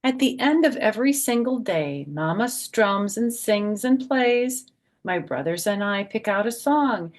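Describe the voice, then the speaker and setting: A woman hosting a children's storytime, reading a picture book aloud and adding chatty, simple explanations for young listeners, with a husky, creaky voice. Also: medium pitch, lively intonation, unhurried.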